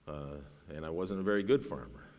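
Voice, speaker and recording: A middle-aged man with a bass voice preaching a sermon in a folksy, self-deprecating way, rising to raised, urgent preaching. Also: a little fast, darkish tone. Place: church sanctuary. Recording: long reverb in a large hall.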